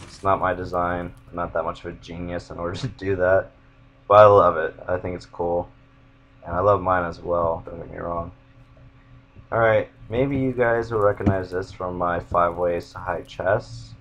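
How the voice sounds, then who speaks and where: A young man with a monotone voice, casually rambling as he walks viewers through his game builds. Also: deep voice, normal speed, highs cut off. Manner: rambling, self-interrupting. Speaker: gamer showing his build.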